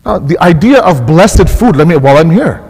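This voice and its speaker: An American man preaches in a fairly high, lively voice that swings up and down as he presses each point. He repeats and restarts phrases with urgency. A long hall reverb trails behind his words. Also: normal speed, husky. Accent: American English. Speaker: mosque speaker. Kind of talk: sermon. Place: mosque hall.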